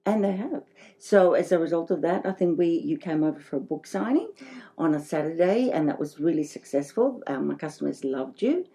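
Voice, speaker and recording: Give this voice to a middle-aged woman with a low pitch and a mellow, slightly gravelly tone. She is an interview guest who chats with enthusiasm about her own work, in a casual, conversational way. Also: lively intonation, a little fast. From Greece, living in Australia. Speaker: interview guest, boutique shop owner.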